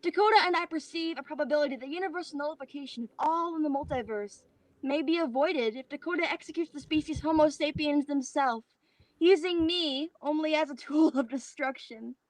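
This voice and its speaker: Woman acting a solemn captive godlike ai, high voice, very close dry sound, cerebral and formal, stage play. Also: no echo, natural voice, low-quality recording. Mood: solemn, explanatory. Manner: formal, measured, deliberate. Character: godlike bound artificial being, solemn, cerebral, detached. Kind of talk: virtual staged play reading.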